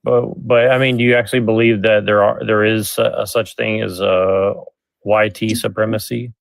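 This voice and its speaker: A man's voice: on the low side, flat delivery, normal speed, gravelly, dry recording, poor audio. A man calling into a live stream, questioning earnestly and hesitantly, often repeating his words. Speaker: caller. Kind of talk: earnest argument on a live call.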